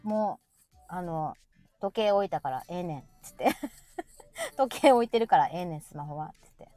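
A middle-aged woman with a Kansai accent, chatting casually and telling everyday stories in an animated, talkative way as a stream host. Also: fairly low pitch, moderate pace, soft background music. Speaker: live audio stream host. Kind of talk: casual chat.

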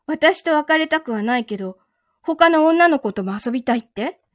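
Woman acting high-voiced, softly menacing betrayed girlfriend. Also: expressive, normal speed, no echo, clean studio sound. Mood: cold, probing. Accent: Kansai Japanese. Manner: controlled, coldly teasing, menacing. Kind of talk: live audio drama acting.

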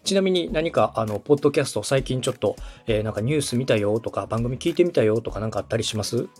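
A man in his thirties hosts a podcast with a mid-range voice that moves up and down freely. He explains point by point in polite speech, checking in with his listener, while music plays softly behind him. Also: mid-range voice, expressive, fast talker, slightly rough. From Osaka, Japan. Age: 30s. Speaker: podcast host and radio director. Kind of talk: explanatory podcast talk to a co-host.

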